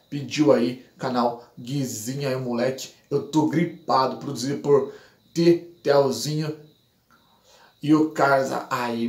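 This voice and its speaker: Brazilian male react host; hyped, slangy, fast, mid pitch, echoey room. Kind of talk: hyped channel intro and song review. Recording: roomy echo.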